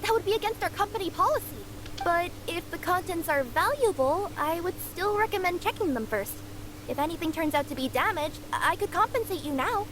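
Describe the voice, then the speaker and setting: A woman's voice: extremely high pitch, lively intonation, brisk. A woman voicing a young, polite, easily flustered cat-spirit courier girl in a video game, earnest and prone to stammering. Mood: polite, eager. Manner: earnest, stammering when nervous. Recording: game capture with clear background noise.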